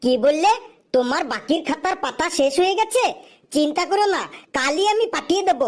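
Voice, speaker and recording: Woman as a high-pitched cheeky cartoon lad. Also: animated, brisk. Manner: glib, teasing back-talk. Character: cheeky, smart-mouthed young jobless man. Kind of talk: comic cartoon dialogue.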